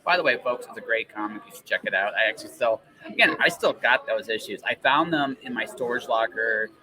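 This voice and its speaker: A man hosts a casual interview podcast in a mid-range voice. He rambles, doubles back on his sentences and checks in with short tags, while crowd chatter is clearly audible behind him. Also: medium pitch, fairly quick, low-quality recording. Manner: rambling, self-interrupting, frequent tags like "right?". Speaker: podcast host.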